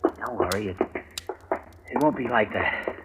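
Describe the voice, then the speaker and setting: An actor playing a scheming nephew plotting a murder in an old radio drama, speaking in terse, hushed lines. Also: male voice, on the low side, measured pace, natural voice. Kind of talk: 1940s radio acting. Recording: old radio broadcast with faint hiss.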